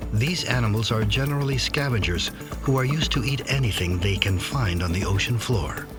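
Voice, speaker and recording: A man narrating a nature documentary in a measured scripted delivery. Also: on the low side. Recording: music bed behind voice-over. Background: music.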